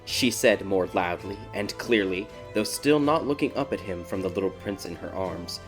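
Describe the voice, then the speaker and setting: A man reading a scripted dark fairy tale as a dramatic audiobook narrator. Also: low-pitched, lively intonation, normal speed, low-quality recording. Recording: background music behind the voice.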